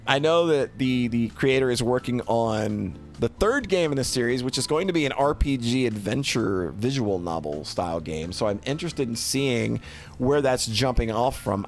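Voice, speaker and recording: A man giving a casual, unscripted review as a streamer, often repeating and restarting phrases as he thinks aloud. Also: mid-range voice.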